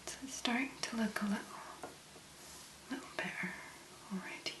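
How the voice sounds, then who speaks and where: A woman speaking very softly and breathily, in a gentle, unhurried ASMR make-up tutorial style. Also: mid-range voice, roomy sound. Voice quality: breathy, whispery. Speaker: ASMR video creator.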